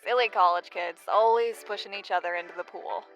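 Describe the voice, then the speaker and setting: A woman's voice: medium pitch, fairly even intonation, brisk, a bit bright, faint music behind, close to an everyday voice, rough recording. A woman playing a female hospital worker in a scripted TV sitcom parody. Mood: matter-of-fact. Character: female hospital sitcom colleague delivering setup lines.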